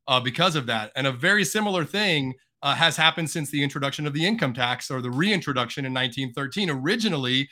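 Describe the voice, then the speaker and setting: A man appearing as a podcast guest, walking through figures in a measured, step-by-step way. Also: on the high side, bright, forceful tone. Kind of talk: casual podcast discussion.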